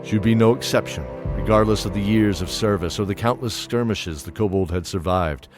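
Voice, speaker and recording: Male storyteller, deep pitch, scripted fantasy narration, measured, dramatic, music bed. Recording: music under the voice.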